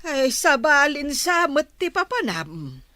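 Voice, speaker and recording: A woman acting as a suspicious mother in an audio drama, questioning her son in a slow, prying, scolding way. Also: high-pitched, big pitch swings, bright, forceful tone, no echo, full character voice.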